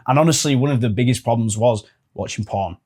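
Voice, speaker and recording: Twenties male host, chatty and informal, plain mid-pitched voice. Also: fast talker, warm tone. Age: 20s. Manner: chatty, informal with swearing and "like" fillers. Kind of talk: casual advice talk to camera.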